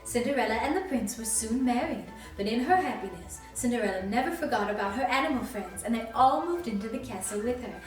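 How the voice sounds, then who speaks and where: A woman's voice: fairly high pitch, expressive, a little fast, hardly any pauses. A woman reading a storybook expressively to young children as a princess character, sweet and encouraging, inviting them to join in. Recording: very echoey, distant mic, faint music.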